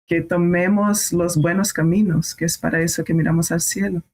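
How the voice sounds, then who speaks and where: A woman whose first language is Portuguese, a guest on a podcast, speaking in halting phrases and searching for words. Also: lowish voice, fairly even intonation, a little mellow, low-quality recording. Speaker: guest astrologer. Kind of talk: casual podcast conversation.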